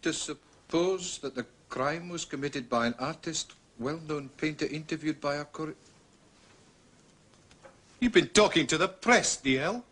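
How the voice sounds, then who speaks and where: A man playing an irascible, bossy Scottish police inspector in a radio drama, scolding with sharp authority. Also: on the high side, measured pace, slight background noise. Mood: stern.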